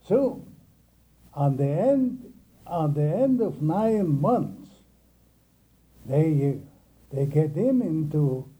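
An elderly man speaks very slowly and in a slightly raised register, his pitch swinging widely as he halts and restarts his phrases. His voice is dark and husky. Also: poor audio. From Russian Empire, near Kiev. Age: older. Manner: halting, with many restarts and repeated words. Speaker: interviewee. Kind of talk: oral history recollection.

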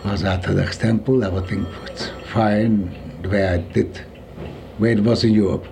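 A man in his seventies from Poland with a Yiddish accent, recalling his life in an interview, halting and searching for words. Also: fairly low pitch, fairly even intonation, creaky, noisy background. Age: 70s. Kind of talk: oral history recollection.

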